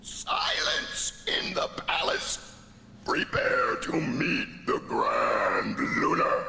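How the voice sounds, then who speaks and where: Creaky, bright-voiced alien herald. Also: male voice, mid-range voice, animated, drawn-out words, heavily acted. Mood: commanding. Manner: formal, proclaiming announcements. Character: officious male alien herald of a lunar royal court. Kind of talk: radio drama acting. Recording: echoey reverberant hall, faint noise.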